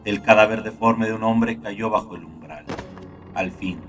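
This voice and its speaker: A man reading a scripted horror story as narrator, in a dark, gloomy, dramatic manner. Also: on the low side, a little slow, far from the mic. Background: soft guitar music.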